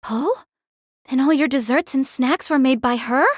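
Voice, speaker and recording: Woman playing a curious youngster, higher-pitched, dry. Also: normal speed, dry recording. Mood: casual, teasing. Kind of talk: scripted audio drama dialogue.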